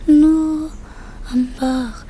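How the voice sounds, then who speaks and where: Slow, childlike, simple-minded woman. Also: high voice, steady tone, dark tone, a little hiss or hum. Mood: dreamy, naive. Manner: naive, absent-minded, halting. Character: simple-minded, childlike, dreamy female camp inmate. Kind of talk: literary radio drama acting.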